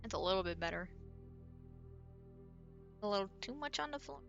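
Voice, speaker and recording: A woman's voice: medium pitch, lively intonation, moderate pace, gravelly, highs cut off. A woman streamer chatting casually and thinking aloud while she draws, rambling and muttering to herself. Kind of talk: casual chat while drawing. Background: faint background music.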